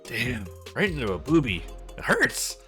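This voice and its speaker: Male let's play host, low-pitched to squealing swings, very animated reading of game dialogue with casual asides, music underneath. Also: unhurried. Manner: acts out characters' lines with exclamations. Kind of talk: reading visual novel dialogue aloud with casual commentary.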